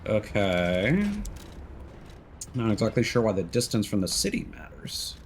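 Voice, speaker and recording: A man's voice: lowish voice, unhurried, a little hiss or hum. A man giving casual let's-play commentary as a game streamer, sometimes reading in-game notes aloud.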